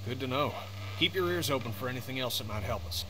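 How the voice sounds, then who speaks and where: A man acting a weary father in a survival video game. He sounds blunt, insistent and strained, in a middling pitch. Clear background ambience sits under the voice. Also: animated, quick pace, noisy background, natural voice. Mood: casual. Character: stressed, blunt father, desperate hungry survivor.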